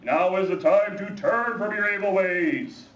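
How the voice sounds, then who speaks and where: A performer voicing a prophet who preaches in a solemn, booming voice during a children's church skit. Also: unhurried, noisy background, natural voice. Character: prophet given a bold new preaching voice. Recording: echoey, distant, muffled.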